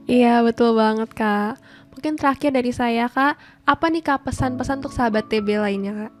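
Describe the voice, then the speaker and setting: A woman's voice: on the high side, moderate pace, faint music behind. A woman hosting a casual interview in an informal, polite manner. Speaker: interviewer, host.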